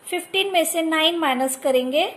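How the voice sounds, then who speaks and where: A woman's voice: very high-pitched, a little fast, a bit bright. A woman teacher with an Indian accent who explains a maths exercise step by step, mixing Hindi and English. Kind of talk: maths lesson over a workbook.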